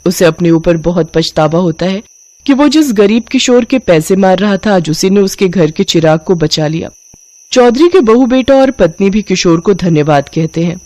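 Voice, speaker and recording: A woman's voice: fairly low pitch, mellow. A woman reading scripted narration for a moral bedtime story.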